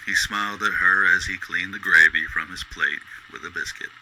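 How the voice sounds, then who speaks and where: A man with a low voice narrates a radio drama like a storybook. His delivery is deliberate and descriptive, with noticeable noise behind the voice. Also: fairly even intonation.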